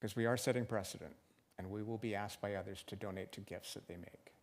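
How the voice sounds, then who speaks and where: A man's voice: fairly low pitch, steady tone, quick pace. A man chairing a city arts commission meeting, asking firm, direct questions. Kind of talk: public meeting discussion. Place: council chamber.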